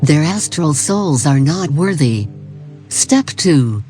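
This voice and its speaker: A performer playing a calm, formal cult recruitment narrator, reading a scripted instructional tape in a measured, solemn way. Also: medium pitch. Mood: serene, ominous. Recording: 1990s tape-style narration over music. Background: music.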